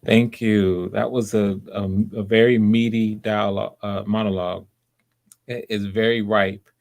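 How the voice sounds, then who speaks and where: A man with a low voice hosts a live audition session. He coaches casually and encouragingly, often stopping to restart or repeat a phrase as he gives his notes. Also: flat delivery, measured pace, gravelly, darkish tone. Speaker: host of live voice auditions, director giving notes.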